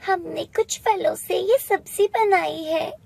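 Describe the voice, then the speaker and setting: A woman voicing a pleading, childlike orphan girl in an animated moral story. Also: extremely high pitch, slow pace, vocal fry, no room sound, voice pushed up, heavily acted. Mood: eager. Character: orphaned little girls, pleading, sweet and eager.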